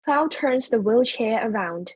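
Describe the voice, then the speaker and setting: A woman with a breathy, husky voice reading stage directions as scripted narration for a play. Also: a little high, animated, a little slow, dry recording.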